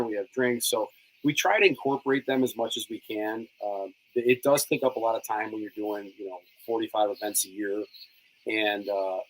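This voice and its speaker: A middle-aged man whose first language was Italian, a cigar company co-owner, telling stories in a relaxed, chatty way. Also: mid-range voice, low-quality recording. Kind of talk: casual chat.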